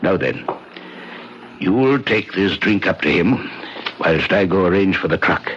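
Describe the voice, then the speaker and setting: An actor playing a sly, bossy Irish innkeeper and gun-runner in a radio drama, with a husky, folksy, commanding delivery. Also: male voice, low-pitched, animated, measured pace, a bit bright, a little hiss or hum. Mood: sly, conspiratorial. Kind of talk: old-time radio drama acting. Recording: dull, muffled old radio recording.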